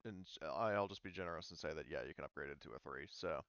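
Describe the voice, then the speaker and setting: A man's voice: very deep voice, fast talker, fairly bright tone, dry recording. A man running a tabletop game as game master, talking casually and hesitantly as he thinks aloud, on a muffled voice-chat line.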